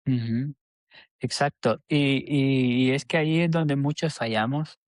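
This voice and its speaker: A man's voice: mid-range voice, steady tone, unhurried, no echo, clean studio sound. A man from El Salvador, a podcast guest who talks in a hesitant, earnest way and often restarts his sentences. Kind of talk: casual interview chat.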